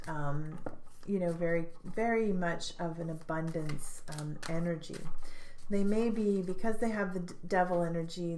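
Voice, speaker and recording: A woman giving a tarot reading, sounding stuffed up, speaking thoughtfully with frequent pauses and restarts. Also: sits low, a little mellow, echoey room. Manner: thoughtful, hesitant, many fillers and restarts. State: stuffed up, congested. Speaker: tarot reader.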